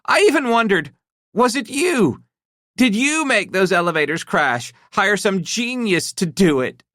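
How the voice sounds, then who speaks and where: Man voicing a high, lively, shaking wife on the edge of hysteria; storybook reading. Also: a little slow, no echo, studio quality, exaggerated voice. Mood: shaken, accusing. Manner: shaking, accusing, rising to shrieks. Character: distraught betrayed middle-aged wife, shrill.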